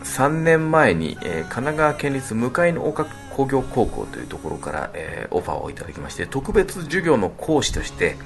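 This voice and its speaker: A man talking on a radio show, explaining things politely with frequent drawn-out hesitations. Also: mid-range voice, very animated, vocal fry. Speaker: band leader, guest talker on radio show. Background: background music.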